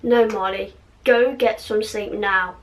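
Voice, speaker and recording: A woman voicing an injured, tired, caring teenage boy wizard from a story, quietly and haltingly. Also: medium pitch, fairly even intonation, natural voice, poor audio. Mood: insistent.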